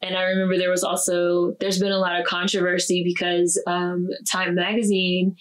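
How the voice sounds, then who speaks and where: A woman's voice: fairly low pitch, monotone, normal speed, rough recording. A woman hosting a casual conversational interview, chatty and given to acting out what other people say. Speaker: interviewer and channel host.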